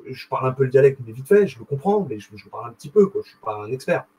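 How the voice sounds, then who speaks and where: A young man with a husky voice, talking casually and excitedly to his viewers in a rambling, slangy way. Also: medium pitch, very fast, low-quality recording. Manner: rambling, animated, slangy, addresses viewer directly. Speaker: video host. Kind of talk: casual explanatory monologue.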